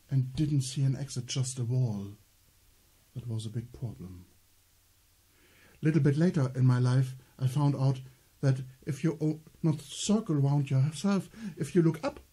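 Gentle German-accented man, dark voice. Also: medium pitch, slightly rough. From northern Germany. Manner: gentle, halting, slow storytelling with pauses. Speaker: theater performer. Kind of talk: solo storytelling skit.

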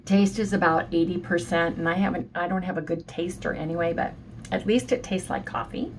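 Hoarse, nasal woman in her sixties. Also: fairly low pitch, fairly quick, a little hiss or hum, low-quality recording. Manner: chatty, keeps saying "you guys". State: hoarse and nasal from sinus trouble. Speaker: vlogger. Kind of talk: casual morning vlog chat. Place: home office with shelves.

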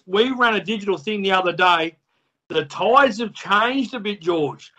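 A man's voice: high-pitched, expressive, a little slow, crowd noise, noisy background. A man asking questions in a press interview from an archive clip.

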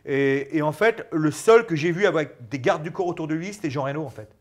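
A man's voice: on the high side, brisk. A man in his forties, a guest chatting casually and animatedly in a small studio lounge, on a lapel mic. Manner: animated, gestures a lot while talking. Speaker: guest, former fighter turned actor and stuntman. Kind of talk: casual interview chat.

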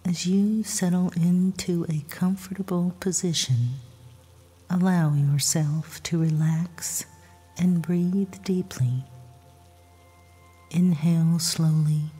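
A woman softly narrating a scripted guided sleep meditation with scripture readings, in a hushed, breathy, soothing and measured voice. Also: lowish voice, fairly even intonation. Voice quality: soft, breathy, husky. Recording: long reverb, soft music behind.

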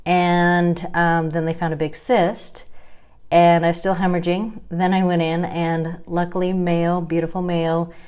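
A woman's voice: on the low side, little pitch movement, a little slow, a little mellow, clean tone. A woman in her thirties talking to a webcam at home, chatty and wry, laughing often as she tells her story. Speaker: vlogger sharing her health journey. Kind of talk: casual talking-head vlog. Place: indoors at home, small room.

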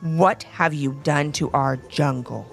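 A stern, lordly male monkey chief performed by a woman for a children's storybook. The voice is lowered and thundering, with slow, commanding delivery over music. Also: creaky, full character voice. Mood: angry, demanding. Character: stern, imperious male monkey leader, big brother.

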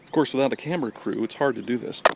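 A man's voice: mid-range voice, rapid-fire, vocal fry, noisy background. Chatty man giving a stadium walking tour. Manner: chatty, folksy, hometown pride. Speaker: local stadium tour guide. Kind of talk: handheld walking tour narration. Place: outdoor high school stadium. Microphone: handheld camera at arm's length.

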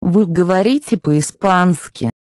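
A reader repeating short phrases slowly and evenly for beginner learners. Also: medium pitch, no echo, clean studio sound. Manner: slow, even repetition. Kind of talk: slow scripted phrase reading.

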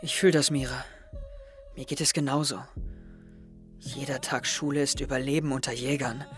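A man's voice: medium pitch, steady tone, normal speed, a bit bright, soft background music, lightly acted. A young man playing an anxious, withdrawn, self-doubting teenage schoolboy in an audio drama. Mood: brooding. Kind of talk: audio drama dialogue and inner monologue.

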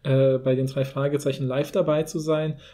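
A man's voice: mid-range voice, little pitch movement, fairly quick, warm tone. A man from Poland who grew up partly in Germany, co-hosting a podcast in a casual, rambling way. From Poland until about age six, then Germany. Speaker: podcast co-host. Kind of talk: casual conversational podcast talk.

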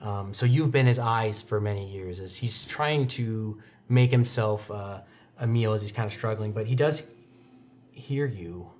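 A young man improvising descriptive tabletop roleplay narration as game master, halting now and then to find his words. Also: on the low side, a little mellow, a little hiss or hum. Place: indoor studio set, seated at a desk. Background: music.

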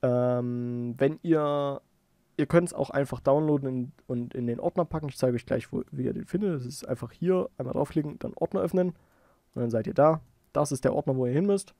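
A man giving a casual software tutorial, informally thinking aloud as he goes. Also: medium pitch, normal speed, no echo. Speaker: tutorial host.

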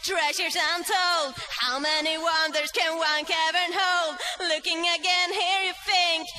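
A woman's voice: extremely high pitch, steady tone, a little slow. A woman singing a pop cover as an amateur, over backing music. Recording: music under the voice.

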